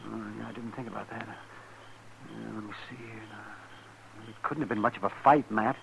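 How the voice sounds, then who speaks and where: An American actor playing a blunt, weary frontier town doctor in a radio western, muttering in a folksy, drawn-out way. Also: male voice, lowish voice, fairly even intonation, creaky, fairly bright tone, poor audio. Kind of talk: 1950s radio acting. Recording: hissy 1950s radio recording.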